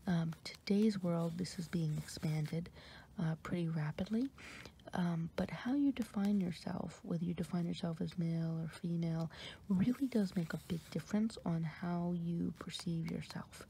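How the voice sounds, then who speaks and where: A woman from New York with an American accent, softly whispering an ASMR ramble with many fillers and pauses. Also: gravelly, a little hiss or hum. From New York, USA. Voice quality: deep voice for a woman. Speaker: ASMR creator.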